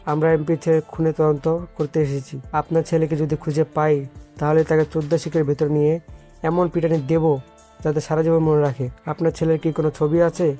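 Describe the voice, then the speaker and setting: A man's voice: a little high, little pitch movement, a little fast, dark tone, faint music behind, natural voice. A man playing a firm, no-nonsense police officer in a dramatized audio story. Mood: stern.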